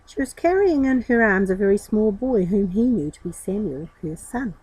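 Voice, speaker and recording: A woman's voice: medium pitch, expressive, normal speed, a little mellow, breathy edge, low-quality recording. A woman storyteller reading a children's story expressively, with informal asides.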